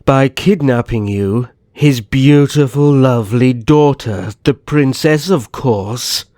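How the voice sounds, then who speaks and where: A man's voice: medium pitch, slow, a little mellow, no echo, studio quality, natural voice. A man playing a suave, condescending vampire naga in an ASMR roleplay, speaking in courtly endearments. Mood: mocking, silky. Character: suave older vampire naga brother, a coaxing, mocking kidnapper.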